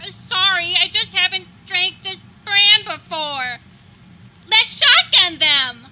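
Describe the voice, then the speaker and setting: A woman's voice: extremely high pitch, lively intonation, slow pace, bright, forceful tone, full character voice. A woman playing an awkward, apologetic, literal-minded tagalong teenage boy in a live outdoor comedy radio play. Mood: meekly apologetic. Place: backyard, before a live audience. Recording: live outdoor recording, faint background noise.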